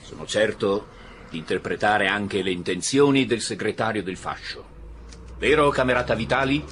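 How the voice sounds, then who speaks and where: An actor playing an authoritative, blustering police chief in an Italian radio drama, insistent and commanding. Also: male voice, fairly low pitch, animated, moderate pace, fairly bright tone, slight background noise.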